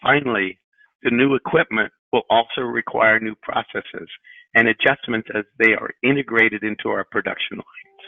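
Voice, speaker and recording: A middle-aged male chief executive delivers prepared financial remarks in a formal, measured way. His voice has a gravelly creak and comes over a narrow, tinny phone line, dry with no room sound. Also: medium pitch, no room sound. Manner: formal, measured, deliberate phrasing. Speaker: company president and CEO. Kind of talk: prepared corporate earnings presentation. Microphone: telephone on a conference call.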